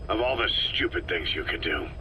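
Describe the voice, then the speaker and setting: A stoic soldier in a war game, a man of few words. His voice is extremely deep and hard-edged, with every line kept short and clipped. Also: lively intonation, moderate pace, bright, forceful tone, slight background noise, exaggerated voice, low-quality recording. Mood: terse. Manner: terse, clipped questions. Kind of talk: video game voice acting.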